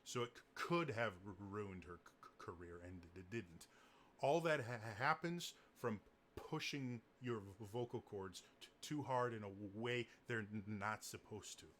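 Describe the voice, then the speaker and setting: A man with a low, deep voice talks casually through singing advice, often catching and repeating the first sound of a word. A faint hum of room ambience sits under his voice. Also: normal speed, a bit bright. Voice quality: naturally deep voice. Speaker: singing coach. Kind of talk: casual advice talk to camera.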